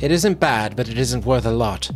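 A man's voice: mid-range voice, animated, normal speed, soft background music, lightly acted. A man voicing a cruel, scornful old sea witch, snappish and imperious, in a dramatic storybook reading. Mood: brusque bargaining.

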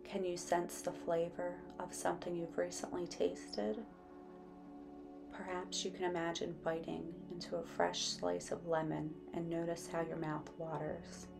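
Woman, meditation guide, very slow pace, gentle and soothing, creaky voice, clear background music. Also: fairly low pitch, little pitch movement.

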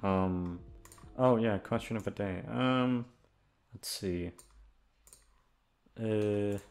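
A young man chatting casually in a rambling outro, halting and aimless, swearing freely and putting himself down. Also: on the low side, darkish tone. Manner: aimless, halting, profane, self-deprecating. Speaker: audio voice actor, channel host.

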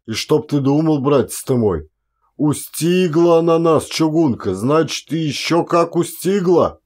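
A man's voice: a little high, lively intonation, a little slow, a little mellow, pitched above the performer's own voice. A man voicing a garrulous, indignant Siberian peasant in an audiobook, with folksy, exclamatory, rambling talk. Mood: excited gossiping. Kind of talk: one-actor audiobook character acting.

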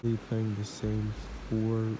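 A young man vlogging a solo camping trip in a laid-back, deadpan way, talking to a handheld action camera from his hammock and his truck. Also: lowish voice, slow. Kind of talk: casual solo camping vlog. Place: outdoors in a hammock, then inside a truck cab. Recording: action camera with outdoor noise.

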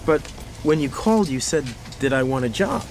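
An actor playing a nervous, starstruck North American voice actor in a radio drama, hesitant, stammering and eager. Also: male voice, fairly high pitch, expressive, moderate pace, darkish tone, slight background noise. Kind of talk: naturalistic radio drama dialogue.

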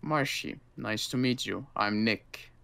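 A man playing a weary, wary zombie-apocalypse survivor in an improvised game roleplay, halting, sarcastic and guarded. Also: mid-range voice, vocal fry, bright, forceful tone, clear, resonant tone, exaggerated voice.

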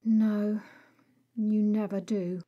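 Woman voicing a stern, scolding male vampire, husky. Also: mid-range voice, flat delivery, extremely slow, highs cut off. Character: stern, exasperated, protective male vampire friend.

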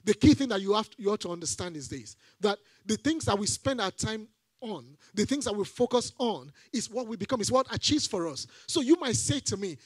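High-voiced preacher, animated. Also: male voice, fairly quick, no echo. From Nigeria. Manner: animated, rising to emphatic repeated phrases. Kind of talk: sermon. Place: church hall stage. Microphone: handheld mic.

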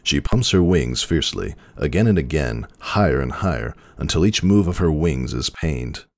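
A male narrator delivers a dramatic story reading in an extremely low voice. The recording is dry, with hardly any room sound. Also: expressive, normal speed, creaky, darkish tone, no room sound.

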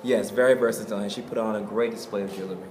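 A man's voice: lowish voice, fairly even intonation, rapid-fire, darkish tone. A young man hosting a casual on-camera interview at a fashion event. He is upbeat and keeps prompting his guest with questions. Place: indoors in a hotel at a fashion show. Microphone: camera mic at arm's length. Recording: echoey room with background noise.

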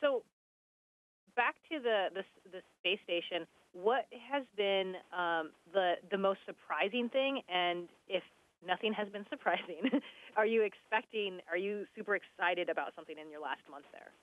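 The sound is dull and band-limited, as over a remote link. A woman with a mid-pitched voice asks interview questions casually, feeling her way through the phrasing. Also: moderate pace. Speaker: podcast interviewer.

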